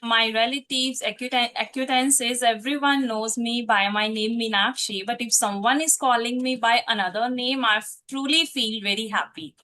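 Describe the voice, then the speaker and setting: Brief: Indian English woman host, bright tone, somewhat high, polite and formal. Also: steady tone, moderate pace, few pauses. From Punjab, India. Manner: formal, polite, a little careful. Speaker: host, spoken English teacher. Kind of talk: polite interview on a video call.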